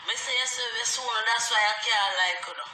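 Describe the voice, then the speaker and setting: A Jamaican woman with a thick Patois accent, telling a story as a loud, animated rant and laughing often as she talks. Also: fairly high pitch, fairly quick, background noise. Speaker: social media personality. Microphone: phone on an Instagram live. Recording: roomy echo, distant from phone.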